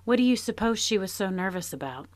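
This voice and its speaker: A woman's voice: mid-range voice, animated, fast, a little hiss or hum, close to an everyday voice. An actress playing a shrewd, plainspoken farm wife in a live audio drama, wry and rural in her talk. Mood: searching. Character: shrewd, sympathetic farm wife, middle-aged. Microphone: home closet mic, dry.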